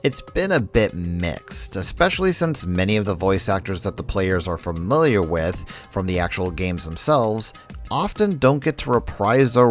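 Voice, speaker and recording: A man narrating a scripted video essay in a conversational way with wry asides. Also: low voice. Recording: background music under voice. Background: music.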